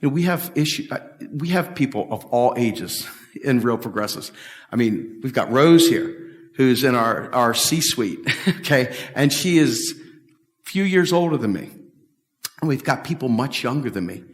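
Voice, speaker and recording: A man's voice: medium pitch, expressive, normal speed. Middle-aged guy preaching his point hard, lots of repeats, echoey big hall sound. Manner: emphatic, repetitive, talks with big hand gestures. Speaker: speaker at a policy event. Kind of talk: animated public talk and Q&A. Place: large bright hall with windows, standing before a stage table.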